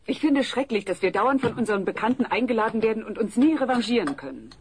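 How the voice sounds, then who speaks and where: A woman's voice: on the high side, animated, fast. An actress playing a worried, weary suburban wife and mother in a comedy audio play. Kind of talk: German sitcom audio play dub. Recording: noisy old cassette recording.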